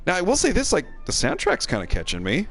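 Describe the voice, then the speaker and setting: Gravelly, animated man rambling over game music. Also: high voice, fast talker. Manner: rambling, self-deprecating, wry. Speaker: game streamer, co-host. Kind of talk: casual chat while playing a video game.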